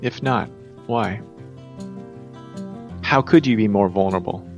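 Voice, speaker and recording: A man's voice: fairly low pitch, measured pace, vocal fry, soft background music. A man hosting a podcast, speaking in reflective monologue and introducing guests. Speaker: podcast host.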